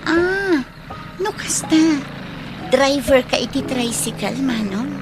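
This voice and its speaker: A woman's voice: high voice, lively intonation, takes it slowly, over music, poor audio. An actress playing a polite, hopeful young woman street vendor in a radio drama, with a Filipino accent. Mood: polite, friendly.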